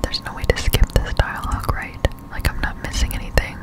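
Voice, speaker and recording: A young woman whispering casual ASMR game commentary in a breathy voice, close to the microphone. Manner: casual, slangy, unhurried chat. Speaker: gaming ASMR streamer. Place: indoor room at home. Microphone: close-up Blue Yeti microphone.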